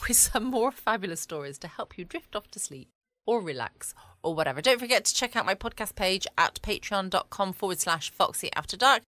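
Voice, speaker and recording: Bright, lively woman podcaster. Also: medium pitch, fairly quick, no room sound. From United Kingdom. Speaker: podcast host. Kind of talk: friendly scripted sign-off with asides. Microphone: close, dry home recording.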